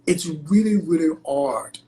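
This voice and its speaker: A man's voice: a little high, unhurried. Chatty Nigerian man with thick accent. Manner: animated, chatty, many fillers like "like" and "you know". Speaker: guest, entertainment lawyer. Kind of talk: casual interview conversation.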